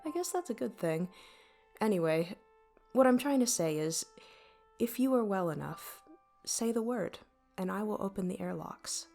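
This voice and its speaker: A woman's voice: medium pitch, lively intonation, moderate pace, gravelly, pauses often, dark tone, soft background music. A woman playing an apologetic, inquisitive starship mind in an audio drama, speaking conversationally with small hesitations. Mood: apologetic, concerned. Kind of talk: audio drama dialogue and log entries.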